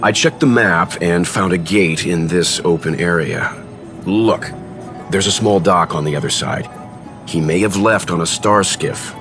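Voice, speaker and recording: Deep, composed investigator. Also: male voice. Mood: calm approval. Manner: measured, analytical, courteous. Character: composed, logical man, calm and courteous investigator. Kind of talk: video game voice acting. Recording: music under the voice.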